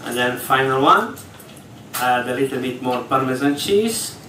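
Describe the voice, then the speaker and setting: A man's voice: mid-range voice, lively intonation, a little slow, bright, forceful tone, slight background noise. A man, an English speaker, explaining step by step during a live cooking demonstration on stage, talking in slightly broken English. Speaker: executive chef. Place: large hall on a ship, in front of an audience. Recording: echoey hall from far off.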